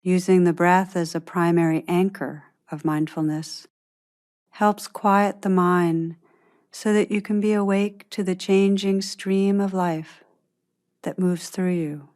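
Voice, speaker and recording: A woman guiding a meditation in a gentle, unhurried, soothing manner, giving calm instructions with long pauses. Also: on the low side. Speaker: meditation teacher guiding a practice. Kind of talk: guided meditation, scripted instruction.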